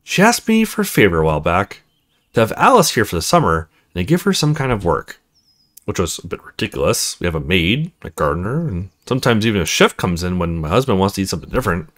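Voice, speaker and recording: A man voicing a cool, confiding married female neighbor from a visual novel, reading her dialogue expressively and in measured phrases. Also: sits low, very animated, a bit bright, voice pushed down. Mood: confiding, frank.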